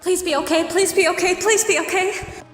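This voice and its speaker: A woman's voice: very high-pitched, steady tone, moderate pace. A woman voicing a worried, kind young female unicorn pony in an amateur fan fiction audio drama. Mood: panicked pleading. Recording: roomy echo with reverb, music behind.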